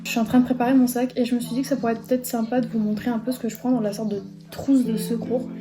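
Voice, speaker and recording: A woman's voice: on the high side, level tone, racing, over music. A teenage girl vlogging in a casual, chatty way, with lots of little fillers as she talks. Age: teens. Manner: chatty, bubbly, lots of fillers like euh and bah. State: stuffy nose, losing her voice. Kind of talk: casual vlog chat.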